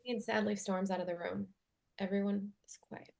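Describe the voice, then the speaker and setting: A woman narrates stage directions from a script in an understated way. Her voice is medium in pitch, with plenty of creak. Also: flat delivery, brisk, darkish tone.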